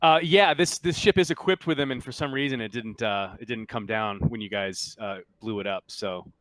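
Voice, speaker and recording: A man running a tabletop game, narrating off the cuff in a halting, conversational, explanatory way. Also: on the low side, a bit bright, dry recording. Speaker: game master.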